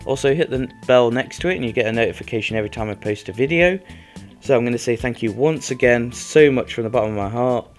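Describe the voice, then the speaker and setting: A young man with a slightly croaky voice from a cold, chatting casually about a video game over gameplay as a channel host, rambling with lots of fillers. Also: mid-range voice, fast talker. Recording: faint background music.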